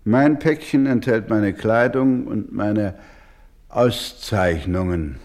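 Low-voiced, solemn older doctor. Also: male voice, darkish tone. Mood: calm dignity. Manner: solemn, deliberate, formal, with emphatic repetition. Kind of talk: German radio play acting.

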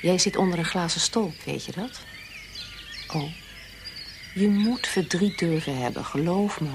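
A woman's voice: on the low side, unhurried, noisy background. An actress in a radio play voicing a firm, caring, blunt-spoken woman. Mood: firm, urging. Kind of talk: Dutch radio drama acting.

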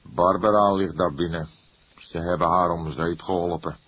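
A man's voice: sits low, fairly even intonation, moderate pace, a bit bright, husky, no echo, rough recording. A man voicing an insolent, evasive young street man of about twenty, offhand and drawling. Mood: scornful.

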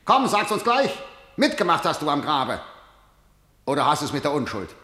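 A harsh tyrant king declaims stylised verse in a rather high male voice. He is imperious and scornful, in an echoing room, as in a radio play. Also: sing-song, normal speed, bright, forceful tone. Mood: cold scorn. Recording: echoey, reverberant room.

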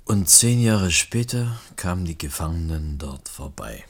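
Ein Schauspieler spielt in einem Hörspiel einen wehmütigen Mann, der nachdenklich und bedächtig mit rauer Stimme spricht. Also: male voice, low voice, expressive, heavily acted. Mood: wistful. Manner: pensive, deliberate. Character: wistful man mourning vanishing childhood streets. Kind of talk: reflective radio play monologue.

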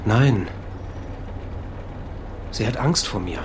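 An actor playing a guilt-ridden, distraught husband in a German radio play, with halting, stammering speech that repeats itself. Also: male voice, fairly low pitch, expressive, background noise. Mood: dazed, anxious.

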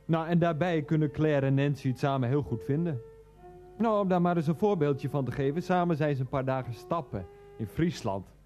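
An actor playing a hapless, earnest middle-aged husband and son in a radio play, hesitant, explanatory and easily defensive. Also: male voice, on the high side, fairly quick, warm tone, soft background music, close to an everyday voice. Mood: earnest, matter-of-fact. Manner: hesitant, explanatory, petulant with his mother. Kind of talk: radio play acting, monologue and phone dialogue.

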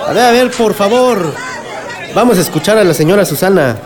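A man playing a calming, authoritative town president chairing an assembly in a radio drama, in a measured official manner. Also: high-pitched, a crowd in the background. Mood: placating, firm.

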